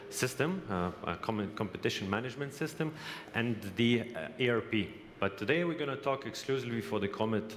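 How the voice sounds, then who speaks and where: A man from Lithuania gives a conference presentation, speaking haltingly with frequent hesitations and restarts. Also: mid-range voice, fairly bright tone. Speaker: company representative presenting. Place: exhibition hall. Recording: reverberant hall, faint background noise.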